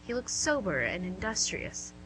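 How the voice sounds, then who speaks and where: A woman's voice: medium pitch, very animated, moderate pace. A woman reading scripted narration and stage directions for a radio play. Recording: hum and clear background noise.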